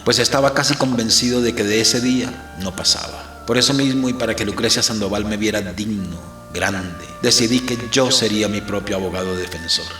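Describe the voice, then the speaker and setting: An actor playing a grave, fatalistic captive priest who narrates his ordeal in a measured, theatrical, literary audio-drama style. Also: fairly low pitch, a bit bright, roomy sound, close to an everyday voice.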